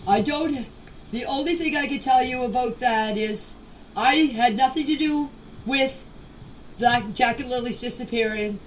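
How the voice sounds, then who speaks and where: A woman's voice: a little high, measured pace. A woman giving an unscripted personal statement in voice messages, plainspoken and emphatic, repeating phrases for stress. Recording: noisy voice message, distant from mic.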